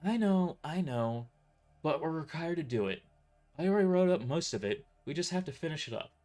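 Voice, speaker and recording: A man's voice: fairly high pitch, expressive, fairly quick, darkish tone, faint music behind, pitched above the performer's own voice, close to an everyday voice. A man voicing a sheepish young office dispatcher, hesitant, stammering and apologetic, in an audio drama. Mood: nervous.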